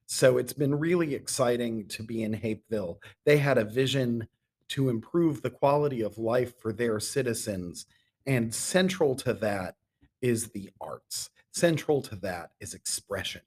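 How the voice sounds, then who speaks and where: A middle-aged man answering interview questions as a guest, measured and given to emphatic repetition. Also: medium pitch, darkish tone, husky. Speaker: interview guest, theater artistic director.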